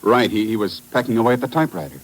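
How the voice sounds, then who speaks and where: A man's voice: mid-range voice, very fast, natural voice, low-quality recording. A man with an American accent playing an uneasy, deferential office employee in a vintage radio drama. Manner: hesitant, deferential. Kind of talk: 1950s radio drama acting.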